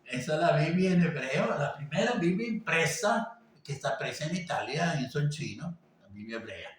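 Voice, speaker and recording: A man teaching an informal class, talking haltingly with many fillers and tag questions. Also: a little high, animated. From Venezuela. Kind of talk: informal lecture. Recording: echoey room, voice from a distance, faint background noise.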